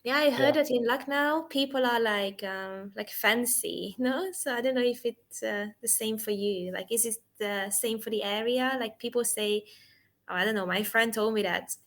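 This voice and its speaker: A woman's voice: a little high, normal speed. A woman in her twenties, an English tutor, chatting casually on a video call, laughing often and asking lots of questions. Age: 20s. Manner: giggly, chatty, asks many questions.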